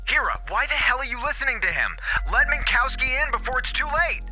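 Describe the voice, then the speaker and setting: A sarcastic, brash space station crewman in an audio drama. He is informal and worked up, with a very high, swooping voice. Music is clearly audible behind him. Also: lively intonation, brisk, sharp tone, full character voice. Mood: outraged.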